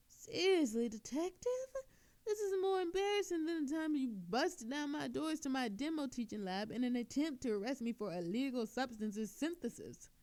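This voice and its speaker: A woman performs a cocky female thief in an audio drama, with a fairly high feminine voice. She is coy and theatrical, forever flirting and needling the detective who questions her. The delivery is playful and mocking, full of smug confidence. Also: heavily acted. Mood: gloating, teasing. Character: smug, flirtatious, teasing woman thief under police interrogation. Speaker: voice actor in audio roleplay. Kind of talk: flirtatious audio roleplay monologue.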